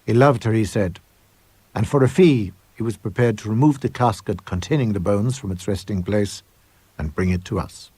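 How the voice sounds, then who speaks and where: A haunted gentleman explorer acted by a male reader recounts his horror in a storybook ghost tale. The voice is husky, intense and deliberate, with a grave weight to each phrase. The recording is dry and close. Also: on the low side, no room sound. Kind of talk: dramatic scripted horror monologue.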